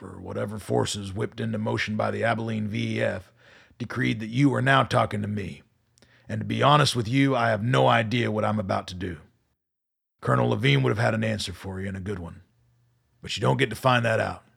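An actor playing a hardened, weary army colonel in a radio play, gravelly and husky, with a dry, deliberate manner. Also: male voice, on the low side, fairly even intonation, fairly bright tone, heavily acted. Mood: grim, commanding.

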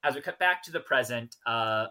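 Guy cohosting a podcast, bright clear-cut voice, chatty recap w/ wry asides. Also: mid-range voice, expressive, normal speed. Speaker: podcast co-host. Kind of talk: casual chat and episode recap.

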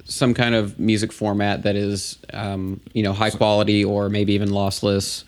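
A man's voice: fairly low pitch, little pitch movement, normal speed. A man co-hosting a casual podcast chat, speaking thoughtfully with hesitant fillers. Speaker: podcast co-host, DJ.

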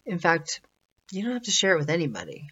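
A woman giving informal motivational advice in a conversational, halting way, repeating phrases for emphasis. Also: lowish voice, huge pitch swings, lo-fi sound, noisy background. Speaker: motivational spiritual speaker.

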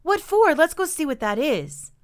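A woman's voice: sits high, wildly swooping pitch, moderate pace, pitched above the performer's own voice. A woman voicing a curious, eager young beaver boy in a children's story, exclaiming and insisting. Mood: excited, insistent.

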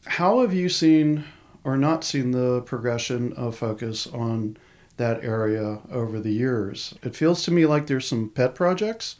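A man's voice: mid-range voice, a little mellow. A male podcast co-host reading show notes and asking long, detailed questions. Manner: friendly, informal announcements and long questions. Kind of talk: podcast hosting.

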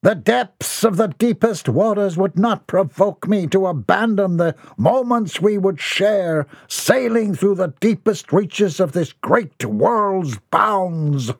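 A high-pitched eccentric dreamer rants in lofty, flowery phrases, as a man puts him on. His voice climbs well above a normal speaking range and lands dry and close, with no room around it. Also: animated, slow, few pauses, slightly rough, dry recording, full character voice. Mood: grandiose ranting. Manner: grandiose, flowery ranting. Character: eccentric rambling nephew lost in sea fantasies.

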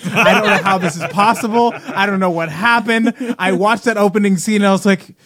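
A man's voice: high-pitched, a little fast, fairly bright tone. A man co-hosting a podcast, chatting casually about movies in a slangy, wry, self-deprecating way. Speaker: podcast co-host.

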